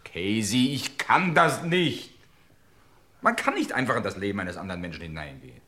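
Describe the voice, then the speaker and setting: An anxious, vain male film star in a radio crime drama. His voice is bright and tense, swinging widely in pitch. He is theatrical and reluctant, full of protest and doubt. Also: sing-song, moderate pace. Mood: reluctant, uneasy.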